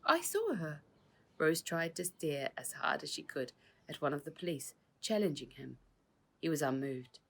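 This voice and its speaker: A woman's voice: deep voice, moderate pace, gravelly. A woman reading her own children's novel aloud as scripted narration, acting out the dialogue expressively.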